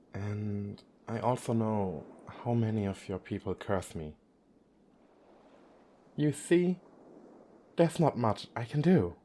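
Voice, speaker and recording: A man's voice: lowish voice, a little mellow, a little hiss or hum. A man playing a playful, effeminate young sea god in an ASMR romantic roleplay, teasing and coaxing as he speaks directly to the listener. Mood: reproachful, assured.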